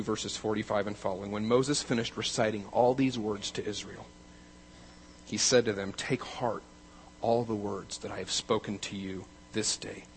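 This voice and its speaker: A man, as a pastor, preaching a sermon, building to loud, emphatic declarations and then dropping to quiet, measured reading. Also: on the low side, a little hiss or hum, rough recording.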